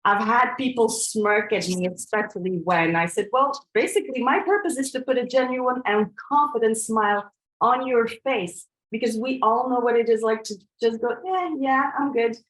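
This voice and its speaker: A woman in her forties from Belgium, a coach giving a presentation in an earnest, reflective way, often pausing on fillers and restarting her sentences. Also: a little high, fairly bright tone, roomy sound, poor audio. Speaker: coach and trainer. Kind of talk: presentation to an audience.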